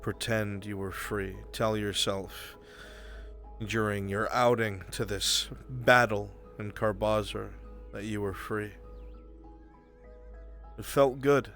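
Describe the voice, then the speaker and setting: Low-voiced man narrating a brooding roleplay. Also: fairly even intonation, fairly bright tone. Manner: brooding, deliberate storytelling with dramatic pauses. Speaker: game master. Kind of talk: dramatic second-person narration of a live roleplay game. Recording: music behind the voice. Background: background music.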